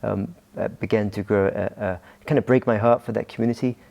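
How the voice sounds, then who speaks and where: A man's voice: on the low side, fairly even intonation, a little fast, creaky, darkish tone. A middle-aged Scottish man, a pastor guesting on a podcast, talking casually with many hesitations and restarts. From Scotland. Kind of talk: casual interview talk.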